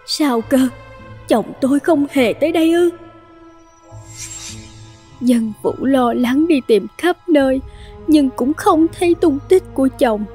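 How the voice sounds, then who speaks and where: A high, soft-toned worried wife. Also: female voice, takes it slowly, pauses often, soft background music. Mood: anxious, pleading. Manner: humble, pleading. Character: worried, humble village wife pleading with an official. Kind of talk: animated folk tale dubbing.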